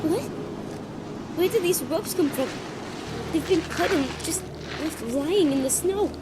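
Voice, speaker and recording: A woman playing a defiant, indignant young female adventurer in an audio drama, emotive and halting. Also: very high voice, expressive, heavy background noise. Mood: dazed confusion.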